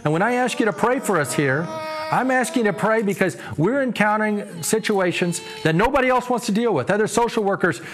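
A man's voice: sits high, expressive, a little fast, a bit bright. A man in his sixties speaking as a pastor and TV host, making an earnest, preacherly appeal in an interview. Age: 60s. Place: small TV studio living-room set. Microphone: lapel.

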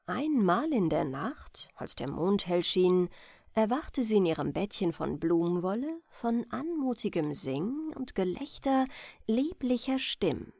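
A woman storyteller reading a bedtime fairy tale as scripted narration, with expressive, careful phrasing. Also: medium pitch, a little slow, gravelly, no echo.

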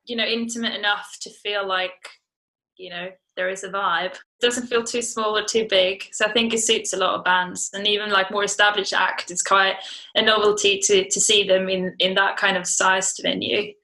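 A woman's voice: mid-range voice, steady tone, normal speed, bright, forceful tone, low-quality recording. A young woman in a band, chatting casually in an interview over a video call from home. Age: young adult. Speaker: band member being interviewed. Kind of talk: casual chat. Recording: video call, music underneath.

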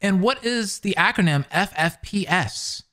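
A man in his twenties giving excitable, exclamatory reaction commentary close on a microphone. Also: high-pitched, measured pace. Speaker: reaction video host. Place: small indoor room. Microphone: close microphone on boom arm.

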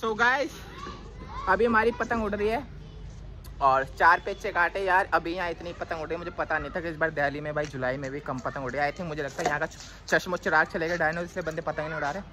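A man's voice: on the high side, fairly even intonation, fast talker, pushed and bright. A man, chatting casually and animatedly to viewers as a vlogger, often repeating his phrases. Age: 20s. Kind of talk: casual vlog chat and running commentary. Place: open urban rooftop, outdoors. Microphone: phone camera, near to a few metres away. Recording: noisy outdoor phone recording with people around. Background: outdoor city noise, voices.

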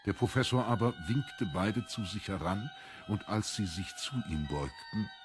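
A man narrating a literary story from a script in a measured storytelling manner. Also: on the low side, lively intonation, music in the background.

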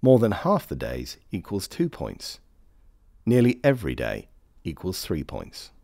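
A man narrates a training film from a script in a fairly low voice. His intonation moves around a good deal while the delivery stays formal and measured. The sound is dry and close, with no room echo. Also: wildly swooping pitch, gravelly, dark tone.

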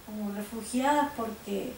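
A woman in her sixties from El Salvador with a Salvadoran Spanish accent, recounting her life in a living room interview, reflective and halting, often repeating her starts. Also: mid-range voice, a little mellow. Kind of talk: personal life-story interview. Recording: roomy home video with faint hiss.

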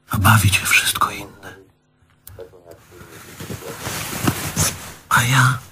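An actor playing a jealous, self-pitying man reproaching his lover in halting, literary phrases, in theatrical stage style. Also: lowish voice, pushed and bright, breathy edge, heavily acted. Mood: wounded, hushed. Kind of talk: theatrical stage drama acting.

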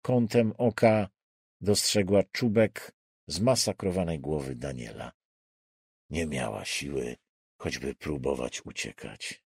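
A man reading a thriller audiobook as scripted dramatic narration, deliberate and suspenseful in his delivery. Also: extremely low pitch, vocal fry, darkish tone, slightly rough, no echo.

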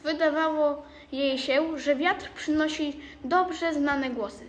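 A woman's voice: high voice, slow. A girl of about twelve reading scripted storybook narration. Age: child, around twelve. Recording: clearly noisy amateur recording.

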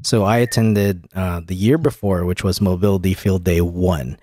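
A man's voice: sits low, normal speed, mellow. A man hosting a podcast, chatting casually and explaining things about tech. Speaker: podcast host.

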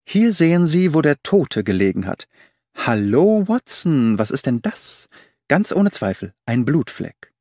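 Commanding detective, keen and precise, higher and lively as a narrator puts him on. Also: male voice, unhurried, no room sound, clean studio sound.

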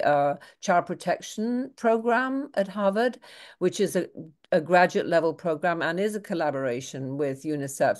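An older woman on a video call from her home study, asking a question at a seminar in brisk, quick bursts. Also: low-pitched, darkish tone. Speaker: program director asking a question.

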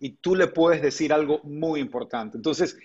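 A man's voice: fairly high pitch, normal speed, darkish tone, slightly rough, lo-fi sound. A middle-aged man interviewing over a video call with earbuds, asking long, earnest questions.

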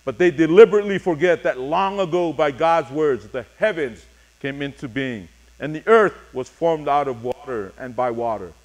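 A middle-aged man preaching a sermon as pastor, reading scripture and speaking deliberately in short, paused phrases. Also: a little high, a little mellow, poor audio. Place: church sanctuary, speaking from a pulpit on stage.

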